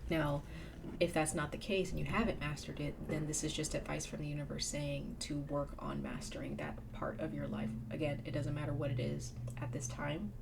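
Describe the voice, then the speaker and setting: Woman, low pitch, slightly nasal, getting over a cold, chatty tarot reader, audible background noise. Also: little pitch movement, fairly quick, vocal fry. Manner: chatty, drops to a whisper in invocations. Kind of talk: casual tarot reading.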